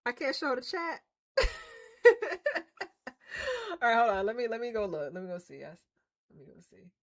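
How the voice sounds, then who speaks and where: A woman's voice: on the high side, very animated, normal speed. A woman streaming a game, chatting and reacting in a casual, slangy, teasing way. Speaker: streamer playing a visual novel.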